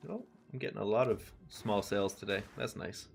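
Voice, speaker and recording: Laid-back, low-voiced man streaming a game. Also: a little fast, creaky. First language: English. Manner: relaxed, rambling, talks to chat between game callouts. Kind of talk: casual chat while gaming.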